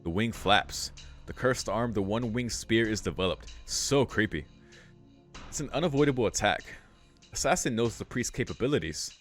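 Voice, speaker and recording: A man reading a visual novel's story aloud for a let's play, breaking into casual, excitable reactions with some swearing. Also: fairly low pitch. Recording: music behind the voice.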